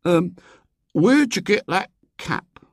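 A storybook schoolboy played by a man with a raised voice. He is eager and dogged, hesitating now and then as he works at a rough working-class English accent. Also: high voice, lively intonation, slow, no room sound, clean studio sound, pitched above the performer's own voice, exaggerated voice. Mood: guarded, curious. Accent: put-on working-class English. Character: eager, stubborn schoolboy copying rough slang.

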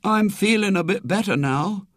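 A man's voice: sits high, expressive, normal speed, fairly bright tone, dry recording, studio quality, voice pushed up. Man voicing an anxious, stammering English schoolboy. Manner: hesitant, stammering. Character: naughty schoolboy feigning illness, anxious and wheedling.

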